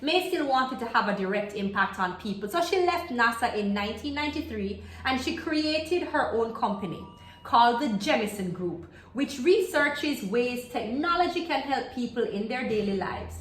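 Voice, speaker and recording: A woman with a fairly high voice reads a children's book aloud in a roomy, echoing space. She is expressive and speaks directly to young listeners, mixing the text with asides and questions. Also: moderate pace. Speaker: storyteller reading aloud for children. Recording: roomy, echoey home-style video recording.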